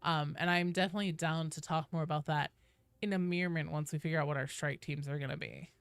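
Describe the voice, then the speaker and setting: Woman, livestream host, informal and chatty, slightly low pitch, crisp clean voice, viewer-directed explanations. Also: fairly even intonation, quick pace, dry recording. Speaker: video game streamer, host. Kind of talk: casual livestream chat with viewers.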